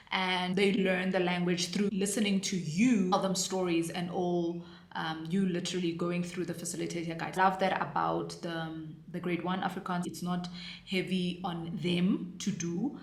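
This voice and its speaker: A South African woman in her thirties talks casually and earnestly to camera, often pausing on "um" and restarting her sentences. Her voice sits a little low. The recording has a noticeable room echo. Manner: chatty, earnest, with frequent fillers and restarts. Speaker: homeschool mom reviewing a curriculum.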